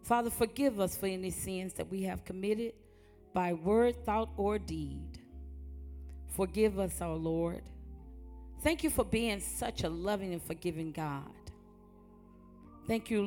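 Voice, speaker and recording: Strong-voiced woman preaching slowly. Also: medium pitch. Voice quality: strong, loud voice. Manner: fervent, call-and-response preaching cadence. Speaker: guest preacher. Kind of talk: church sermon and prayer. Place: church sanctuary before a congregation.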